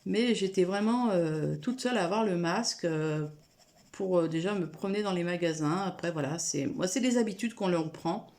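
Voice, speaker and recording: A woman's voice: on the low side, moderate pace, a little mellow. A woman chatting casually to camera from a room at home, rambling with many fillers and restarts, with a cold. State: has a cold. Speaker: coloring vlogger.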